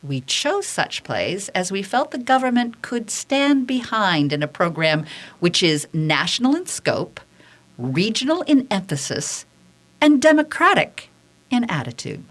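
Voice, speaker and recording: A woman's voice: medium pitch, very animated, a little slow. A woman playing an earnest, defensive 1930s theater director testifying in a radio theater reading.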